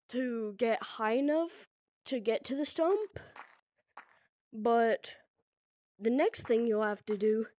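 A young woman talks slowly through a VR game tutorial on a headset mic, her voice stuffy from a cold. Her tone is mellow and a little high, and she rambles loosely with lots of "pretty much" and "like.". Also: dry recording, highs cut off. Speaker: VR gaming tutorial creator. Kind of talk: casual game tutorial narration.

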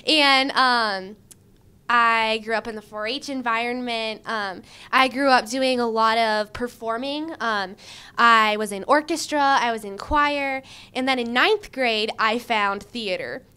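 Chatty young woman, smooth clear voice. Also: on the high side, unhurried. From Michigan. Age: young adult. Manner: chatty, animated, lots of likes and ums, gestures with her hands. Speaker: job candidate. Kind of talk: casual interview answers. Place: indoor room before a banner. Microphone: handheld mic held by the interviewer.

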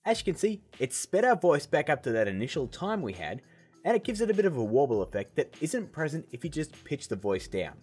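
Chill guy doing a screen tutorial voice-over, lively up and down delivery, slightly husky, faint music under. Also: on the high side, fairly quick. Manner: upbeat, step-by-step, casual. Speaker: video tutorial host.

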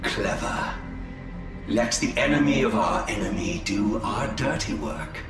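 A man's voice: fairly low pitch, animated, unhurried. A man playing a cunning, haughty alien advisor in a video game, formal and disdainful. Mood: cold, sceptical. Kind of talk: video game cutscene acting. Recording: roomy echo, with music behind.

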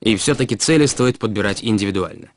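A man reading a scripted educational explainer in a measured, instructive way, as a narrator for an animated film. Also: lowish voice. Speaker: narrator of an educational cartoon about personal finance. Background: background music.

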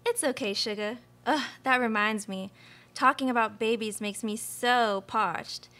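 Woman as a sugary, high-voiced belle. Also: a little slow, pushed and bright. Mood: sugary, gushing. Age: young adult. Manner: sugary, chatty, oblivious. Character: gushing, baby-crazy sweet Southern belle Peaches. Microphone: stage microphone on stand.

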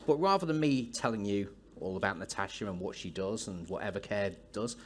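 Male stage interviewer, deeper voice, casual banter, light background noise. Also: expressive, fairly quick. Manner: chatty, friendly banter with ums. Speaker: interviewer, host. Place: stage of a large conference hall. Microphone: headset mic.

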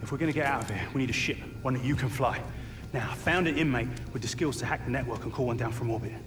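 A man's voice: medium pitch, quick pace, lightly acted. An actor playing a blunt, streetwise male inmate planning a prison breakout in a video game. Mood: brisk, grim. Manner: blunt, urgent, swearing. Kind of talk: video game cutscene acting.

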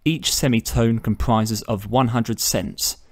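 A man narrating a step-by-step screen tutorial as the channel host, measured and instructional. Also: medium pitch, fairly even intonation.

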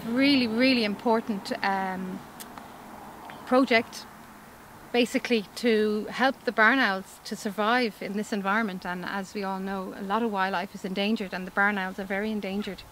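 An upbeat woman in her forties from Ireland speaks outdoors in a vegetable field, chatty and eager, often restarting her sentences. Her voice sits at an ordinary middle pitch. A faint open-air hum and distant traffic sit behind her. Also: fairly even intonation, normal speed, fairly bright tone. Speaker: organic farmer. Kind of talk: outdoor interview. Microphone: camera mic, standing interview.

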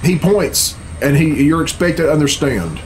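A man's voice: on the high side, very animated, normal speed, a little hiss or hum. A man in his thirties giving casual reaction commentary in a blunt, sarcastic, profane way. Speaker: reaction video host. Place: small bedroom at home, indoors. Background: game cutscene music.

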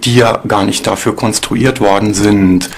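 A man's voice: on the low side, steady tone, a little slow, loud music behind the voice. A man giving an explanatory interview as a sceptical expert.

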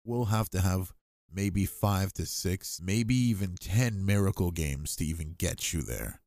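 Man acting as deep, unhurried gamer boyfriend. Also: darkish tone, no echo, clean studio sound. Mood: cocky, competitive. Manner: slangy, swearing, teasing. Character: affectionate, cocky gamer boyfriend, trash-talking young man. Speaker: ASMR roleplay voice actor. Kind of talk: intimate ASMR boyfriend roleplay, casual gamer banter.

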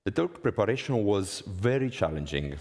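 Male conference speaker, thirties, low voice, Italian accent, measured prepared delivery, long hall reverb. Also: sing-song, a little mellow. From Italy. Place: conference hall stage, indoors.

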